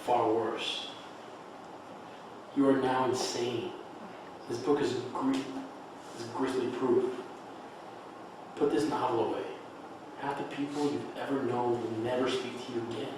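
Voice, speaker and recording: A man playing a blunt, hurt friend in a table read of a play, reproachful and deliberate. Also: medium pitch, steady tone, lightly acted. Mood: cold reproach. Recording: echoey room, far mic, clear noise.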